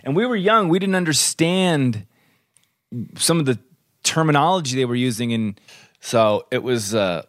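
A man's voice: medium pitch, animated, moderate pace, long pauses, no room sound, studio quality. A man co-hosting a podcast in casual chat, teasing and playful, laughing often. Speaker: podcast co-host.

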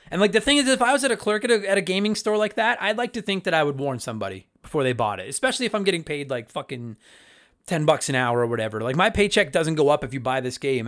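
A man's voice: on the high side, fairly bright tone. A man in his thirties hosting a casual chat show, answering listener letters in a candid, profane, rambling way with lots of restarts.

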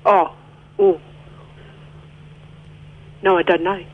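A woman's voice: lowish voice, little pitch movement, very slow, narrow, tinny audio. Hesitant woman calling a radio show. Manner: unsure, confused. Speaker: radio phone-in caller. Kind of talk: hesitant quiz answers on a phone-in.

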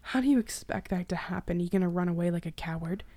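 An anguished, defiant victim acted by a woman in a dark crime reading. She speaks in a hushed, wounded way with a creaky edge, confronting her tormentor. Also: fairly low pitch, fast, dry recording. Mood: frightened, accusing.

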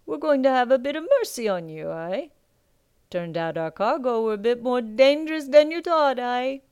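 A woman doing a gruff, blunt sea captain. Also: on the high side, lively intonation, normal speed, a little mellow, dry recording, pitched above the performer's own voice. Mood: gloating. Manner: rough sailor talk, tags lines with "aye".

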